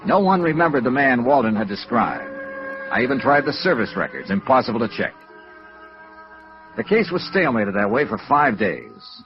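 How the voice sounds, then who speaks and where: An American man playing a brisk, hard-boiled insurance investigator in a 1950s radio noir drama, clipped and matter-of-fact. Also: medium pitch, sing-song. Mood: businesslike. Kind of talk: 1950s radio noir acting and first-person narration. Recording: muffled vintage radio recording with music.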